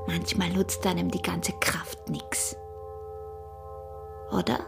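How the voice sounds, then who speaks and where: An actress playing a guarded, teasing young mountain peasant wife with an Austrian accent in an intimate radio drama, moving between breathy murmurs and sharp retorts. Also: female voice, fairly low pitch, level tone, slow pace, music in the background. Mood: teasing, hushed.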